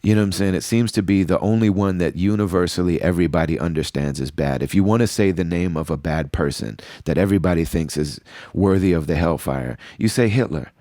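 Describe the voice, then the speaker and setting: Deep-voiced man, earnest solo reflection. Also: brisk, warm tone, dry recording, clean studio sound. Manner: earnest, repeats phrases, frequent "you know what I'm saying". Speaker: podcast host.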